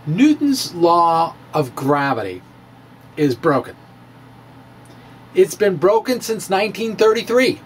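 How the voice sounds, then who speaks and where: A middle-aged man giving a theatrical reading of popular science, with drawn-out emphasis and pauses. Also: sits high, very animated, a little hiss or hum. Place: small room with bookshelves. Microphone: handheld-style microphone on a stand, close in front.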